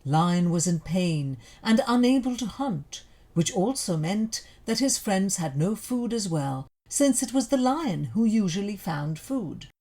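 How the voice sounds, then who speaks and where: A woman narrates a children's folk tale with very animated intonation. Her pitch is mid-range and moves freely up and down, giving the deliberate, scripted storytelling an expressive lift.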